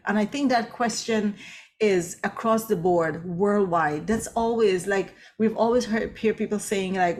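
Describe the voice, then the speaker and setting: A woman interviewing an author about her faith, emotional, laughing often and asking rambling questions. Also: mid-range voice.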